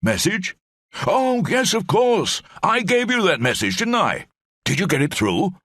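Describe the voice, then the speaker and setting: A man's voice: sits high, sing-song, measured pace, fairly bright tone, no echo, studio quality, pitched above the performer's own voice, heavily acted. A man voicing an elderly, bumbling brigadier with clipped, rambling upper-class speech. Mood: jovial.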